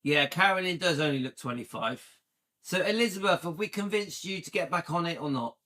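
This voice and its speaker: A man's voice: fairly high pitch, expressive, brisk, pushed and bright. A man in his late fifties from the UK, chatting casually and enthusiastically with viewers on a livestream and often checking in with "yeah?". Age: 50s. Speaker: livestream host.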